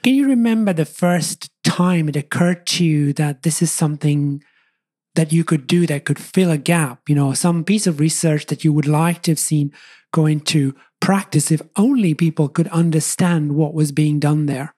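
A woman's voice: low-pitched, moderate pace, dark tone, breathy edge. A woman hosting a podcast, asking questions in a conversational interviewing style.

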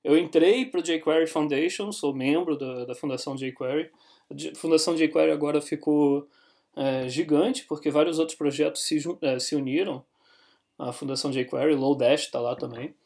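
Brazilian male guest, mid-high voice, easygoing, chatty, lots of fillers. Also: normal speed, gravelly. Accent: Brazilian Portuguese. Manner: relaxed, thoughtful, with frequent fillers and restarts. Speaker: podcast guest, software developer. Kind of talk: casual chat.

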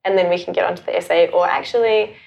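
A woman's voice: lowish voice, quick pace, creaky. A woman from South Africa who later lived in New Zealand, talking casually and informally as an interview guest, peppering her sentences with "like". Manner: informal, animated phrasing with frequent "like". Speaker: podcast guest, sexuality and mindfulness facilitator.

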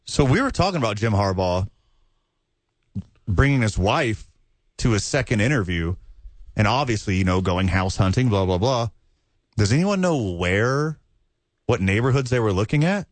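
Low-voiced man in dry banter. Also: animated, moderate pace, pauses often, no room sound. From Texas, USA. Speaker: podcast co-host. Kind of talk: casual sports chat.

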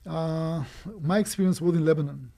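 A man in his sixties, born in Romania, telling his life story as an interview guest in a brisk, animated way. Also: fairly high pitch, gravelly. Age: 60s. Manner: brisk, animated, quick asides and small laughs.

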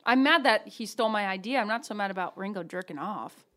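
A young woman in her twenties, a guest on a comedy podcast, brash and mock-indignant and swearing freely. Her voice is animated, rising and falling with plenty of range as she plays up her outrage. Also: medium pitch, quick pace. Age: 20s. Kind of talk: casual comedic podcast banter.

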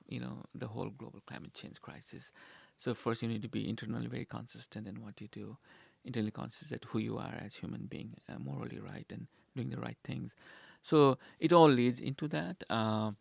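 A man's voice: mid-range voice, creaky, no echo. A man from Nepal, a university sustainability director, answering interview questions earnestly and hesitantly, with many fillers and restarts.

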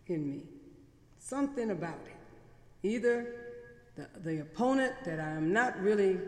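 A woman's voice: medium pitch, darkish tone. A middle-aged woman pastor preaching a sermon, mixing conversational stories with emphatic, repeated phrases, from a pulpit mic in a large church. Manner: conversational storytelling, building emphatic repetition. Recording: reverberant church hall.

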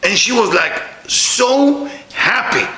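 A middle-aged man with an Israeli accent giving a spiritual lecture to a live audience. He speaks with non-native grammar and jumps up high when he acts out what other people said. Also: animated, takes it slowly, bright, forceful tone, reverberant, rough recording.

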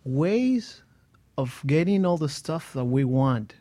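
A man with a mellow, mid-pitched voice joins a motivational talk show discussion. He speaks hesitantly, often restarting his sentences, and his phrasing is a little unusual. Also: lively intonation, slow pace.

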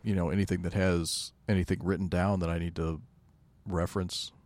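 A man's voice: low-pitched, level tone, fairly quick, a little mellow, no room sound. A man chatting casually as a podcast co-host, understated and laid-back with dry humor. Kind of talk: casual chat.

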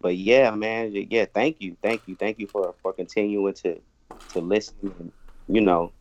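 A man's voice: lowish voice, steady tone, normal speed. A man who has been drinking, a guest musician chatting loosely on a podcast, stumbling and repeating words. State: tipsy from drinking. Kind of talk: casual podcast chat. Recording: dry, dull lo-fi sound.

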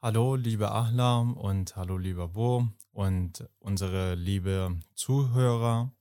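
A man co-hosting a podcast, speaking in a measured, deliberate way. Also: lowish voice, dark tone, clear, resonant tone, no echo, studio quality. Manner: measured and deliberate, stretching words. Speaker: co-host. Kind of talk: podcast conversation, partly reading a quote aloud.

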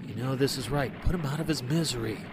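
Guy acting a serious young gi pushing hard, mid pitch, gunfire behind, radio play. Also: quick pace, natural voice. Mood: grave, pressing. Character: grave young American WW2 soldier, insistent. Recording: gunfire and artillery noise behind.

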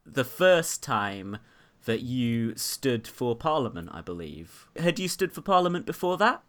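A man's voice: medium pitch, very animated, a little slow, a bit bright. A man hosting a casual interview, asking prompting questions and laughing often. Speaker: host.

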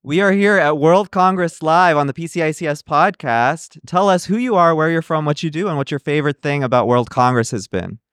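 Higher-voiced man hosting a podcast. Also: brisk, hardly any pauses, fairly bright tone, dry recording, clean studio sound. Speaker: podcast host. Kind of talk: casual on-the-spot interviewing.

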